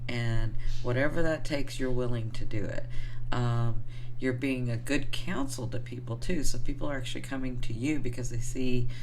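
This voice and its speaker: A hoarse woman who is getting over the flu, giving an affectionate, rambling tarot reading to her viewers with lots of fillers. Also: extremely low pitch, moderate pace, background noise. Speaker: tarot reader.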